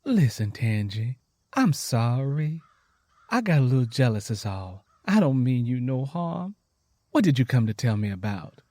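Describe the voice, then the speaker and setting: Man playing a blunt Southern country wife, lively pitch. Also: a little slow, no room sound. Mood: evasive, sheepish. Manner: blunt, probing, folksy dialect. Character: worn, wary, teasing 26-year-old Southern country wife.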